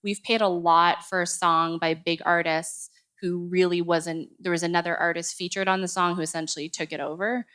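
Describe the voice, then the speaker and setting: A woman's voice: fairly low pitch, flat delivery, normal speed, a bit bright, clear, resonant tone. A woman speaking as a panelist at a conference, casual and hedging, with frequent fillers. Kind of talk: panel discussion.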